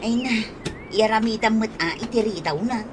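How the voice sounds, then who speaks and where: Woman acting a naggy practical ilocano wife in a radio comedy, highish voice, noisy ambience behind. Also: moderate pace, natural voice. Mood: teasing, chiding. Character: practical, chiding village wife of an old husband.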